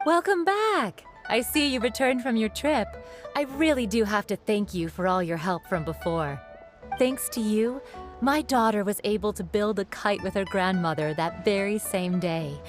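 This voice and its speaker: An actress playing a friendly, grateful, generous shopkeeper in a video game, with a polite manner. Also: female voice, medium pitch, lively intonation, normal speed, faint music behind, close to an everyday voice. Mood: grateful, welcoming. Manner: polite, gracious.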